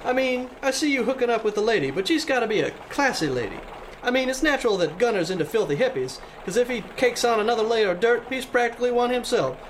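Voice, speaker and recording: A man's voice: extremely high pitch, expressive, fast, a little hiss or hum, poor audio. A man playing a crude, wisecracking young bus driver in a comedic radio play, with a cocky, teasing delivery.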